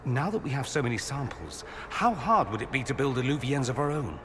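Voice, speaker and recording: Animated, playful mage played by a man. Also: medium pitch, brisk, background noise, natural voice. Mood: eager. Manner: animated, persuasive. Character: eager, playful nobleman mage, male, coaxing. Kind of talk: video game banter.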